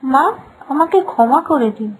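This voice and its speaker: A woman voicing a meek, anxious young bride in an animated fairy tale, apologetic and plaintive. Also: high-pitched, moderate pace, noisy background, close to an everyday voice. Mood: apologetic, pleading.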